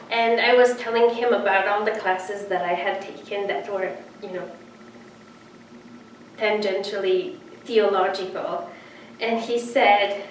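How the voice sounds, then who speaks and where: A woman in her thirties from Romania, introducing herself as a seminarian in an earnest, reflective way with frequent pauses. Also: mid-range voice, recorded from a distance, slight background noise. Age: 30s. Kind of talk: personal introduction. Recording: echoey room, voice from off-mic.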